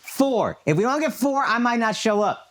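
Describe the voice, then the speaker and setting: A man's voice: extremely high pitch, expressive, moderate pace, a bit bright. A man with an American accent, a comedian co-hosting a podcast, bantering in a brash, profane, mock-scolding way. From Dallas, United States. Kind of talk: casual banter and tour promotion.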